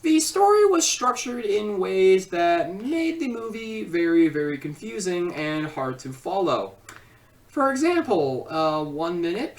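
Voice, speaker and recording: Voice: mid-range voice, far from the mic. A video review host speaking casually in a rambling way, often repeating and stumbling over phrases.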